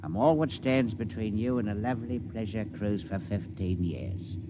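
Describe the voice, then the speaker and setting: Guy doing an oily space crook, low voice, wheedling and smug, old hissy radio tape. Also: moderate pace, dull audio, lightly acted. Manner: wheedling, mock-polite and gloating. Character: sly cockney spacer, oily crook, middle-aged man. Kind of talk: 1950s radio drama acting. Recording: old radio broadcast with loud hiss.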